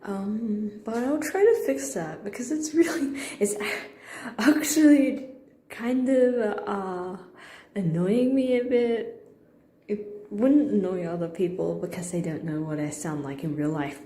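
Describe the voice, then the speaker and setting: A nervous woman vlogging casually from a hospital room, hesitant and apologetic with many fillers, sometimes dropping into soft whispered asides. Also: medium pitch, a little hiss or hum. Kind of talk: casual talk to camera.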